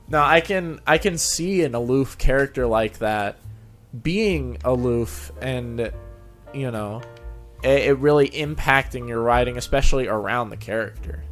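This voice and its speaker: A man's voice: mid-range voice, measured pace, a bit bright, soft background music. A man chatting casually as the host of an interview show. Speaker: show host, fan fiction reader.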